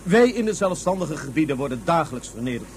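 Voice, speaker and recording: Courtly, formal ruler, slightly high-voiced. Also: male voice, very animated, slight background noise. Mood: proud, aggrieved. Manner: formal, halting, self-deprecating. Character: courtly ruler of a small independent Martian state. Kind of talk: Dutch science fiction radio drama acting.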